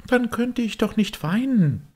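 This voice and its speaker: Guy putting on a sensible little storybook girl, high pitched, husky, polite but anxious. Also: lively intonation, measured pace, full character voice. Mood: indignant.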